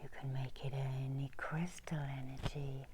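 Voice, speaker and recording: A middle-aged woman whispers a guided meditation in a breathy, soothing ASMR style, pausing often, as a healer would. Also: rumbling low, little pitch movement, very noisy. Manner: slow, soothing, with long pauses. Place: small room indoors.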